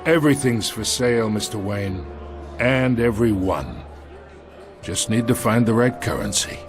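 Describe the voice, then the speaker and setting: An actor playing a brazen, menacing crime boss in a video game, boastful and insinuating. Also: male voice, fairly low pitch, expressive, unhurried, over music. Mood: smug menace. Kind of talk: video game character acting.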